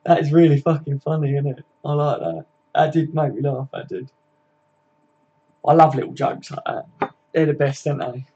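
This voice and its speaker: A man's voice: a little high, fairly even intonation, normal speed, long pauses, dark tone, breathy edge, highs cut off. A man, hosting a casual live stream, chatting matily with viewers and laughing easily. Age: 20s.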